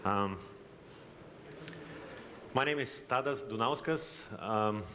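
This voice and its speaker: Halting man in a hall. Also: lowish voice, pushed and bright. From Lithuania. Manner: halting, with frequent hesitations and restarts. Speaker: company representative presenting. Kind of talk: conference presentation. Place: exhibition hall. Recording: reverberant hall, faint background noise.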